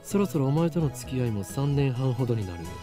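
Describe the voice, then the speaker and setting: A man's voice: medium pitch, lively intonation, very fast, music in the background, natural voice. A man voicing a grandiose, paranoid young mad scientist in a visual novel, declaiming pompously and theatrically. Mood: self-important. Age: young adult. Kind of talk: Japanese visual novel voice acting.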